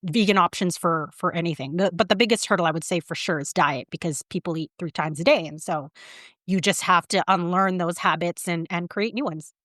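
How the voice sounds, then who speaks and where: A woman's voice: lowish voice, fairly quick, fairly bright tone, no room sound, studio quality. A woman in her thirties telling her story in a casual interview, with many fillers and restarts. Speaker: guest, vegan writer and publicist.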